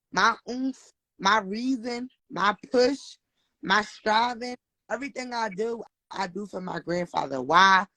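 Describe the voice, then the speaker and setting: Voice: medium pitch, fairly bright tone, no echo, rough recording. A host giving earnest, encouraging advice in a casual chat, repeating phrases for emphasis.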